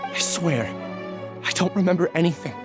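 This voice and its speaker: A man's voice: a little high, moderate pace, over loud music, natural voice. A man voicing a timid, troubled, apologetic young person in a video game, speaking in a hesitant, earnest, stammering way. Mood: pleading.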